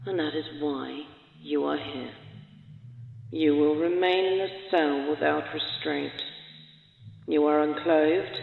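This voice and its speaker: A man's voice: on the high side, vocal fry. Man as a cold overseer, echoing and tinny. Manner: formal, detached, menacing. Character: cold, clinical male overseer of a prison experiment. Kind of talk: scripted audio drama monologue. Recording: muffled phone-like sound, echoey long reverb.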